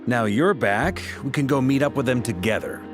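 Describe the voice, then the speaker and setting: A man's voice: medium pitch, moderate pace, over music, close to an everyday voice. A man playing a jovial, easygoing veteran knight commander with a folksy, teasing manner in a video game. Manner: folksy, jocular. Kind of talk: scripted video game dialogue.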